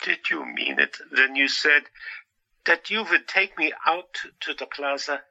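A man acts out a playful asylum patient with a wry, melancholy streak, in an audio drama. His voice is very bright and forceful, speaking slowly with halting, teasing pauses. The recording is slightly dull. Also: on the high side, creaky, heavily acted.

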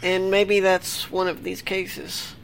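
A man's voice: high-pitched, monotone, slow pace, vocal fry, fairly bright tone, slight background noise. A man hosting his own interview show, talking loosely and rambling with fillers. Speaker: podcast host. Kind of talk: casual interview. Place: home desktop recording setup.

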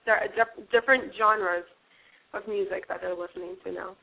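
A woman's voice: lowish voice, fairly even intonation, normal speed, gravelly. A young woman from New Jersey, a guest in a casual interview, rambling with many restarts and fillers. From New Jersey, USA. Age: young adult. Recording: tinny phone-like radio line.

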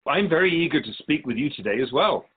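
A man playing a wise, patient otherworldly spirit in a radio drama, explaining things in a measured, gently teasing way. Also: on the high side, animated, slightly rough, narrow, tinny audio. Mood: genial, earnest. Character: Keeper of Souls' Purpose, wise otherworldly spirit, patient and curious.

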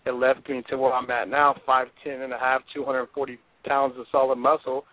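A man's voice: mid-range voice, little pitch movement, fairly quick, no echo. A man in his twenties, a football player being interviewed, talking in an intense, confident, driven way over the phone. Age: 20s. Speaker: football player, draft prospect guest. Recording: dry tinny phone line.

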